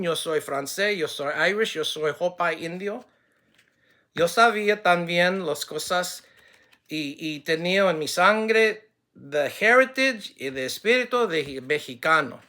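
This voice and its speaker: A man who speaks English natively, making a formal direct address to camera in halting, non-fluent Spanish full of restarts, switching to English, earnest and ending with blessings. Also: a little high, pushed and bright.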